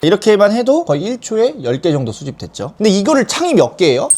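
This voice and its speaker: A man giving a step-by-step software tutorial as an instructor, often asking questions and answering them himself. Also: high-pitched, wildly swooping pitch, quick pace. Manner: asks and answers own questions, acts out example thoughts. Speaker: online-selling instructor and software founder. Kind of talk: live product tutorial, explaining step by step.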